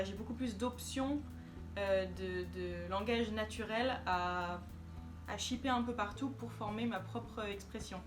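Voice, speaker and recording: A woman vlogger talking casually to camera, chatty and hesitant with many fillers. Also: a little high.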